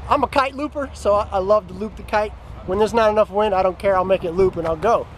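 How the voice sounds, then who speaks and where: A man with a high voice, speaking in upbeat, joking bursts. He chats freely about the kites he has been flying. A faint wind sound sits behind him. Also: quick pace. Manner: enthusiastic, joking. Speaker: kiteboarder testing kites. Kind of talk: casual chat. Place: beach outdoors.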